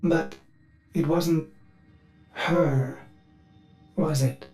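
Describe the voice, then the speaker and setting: A man's voice: fairly high pitch, fairly even intonation, drawn-out words, a little mellow, soft background music. An actor voicing an anxious, devoted male ship AI in a video game, formal and deferential, with a synthetic filter. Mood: hesitant, gentle.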